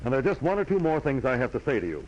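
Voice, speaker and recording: A man's voice: medium pitch, sing-song, racing, muffled recording. An actor playing a stern, domineering businessman, curt and formal, in a 1940s radio drama on a noisy old recording. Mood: scolding, cold. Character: stern, domineering businessman brother scolding his sibling.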